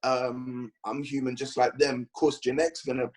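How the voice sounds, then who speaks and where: A man from London with a London accent, a guest bodybuilder chatting on a podcast, rambling and animated as he tells his story. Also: medium pitch, normal speed. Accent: London English. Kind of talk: casual podcast chat.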